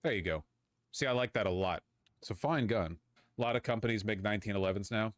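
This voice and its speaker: A man's voice: lowish voice, fast, dry recording, clean studio sound. A man chatting casually and informally. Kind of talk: casual chat.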